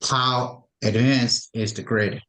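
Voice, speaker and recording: A man's voice: medium pitch, steady tone, slow, a bit bright, poor audio. A man from Guyana, joining a webinar on a video call to ask a question and stumbling over repeated words. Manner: stammering, repeats words often. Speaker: webinar attendee. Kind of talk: live question from the audience.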